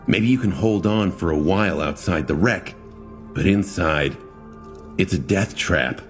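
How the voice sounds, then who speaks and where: Wary young man, read by a male narrator, deep voice, dry and sarcastic delivery. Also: a little slow, faint music behind. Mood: skeptical.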